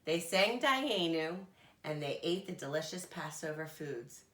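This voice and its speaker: A woman, a nursery school teacher, reading a children's storybook aloud with expressive, animated delivery. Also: sits low, a little slow, reverberant.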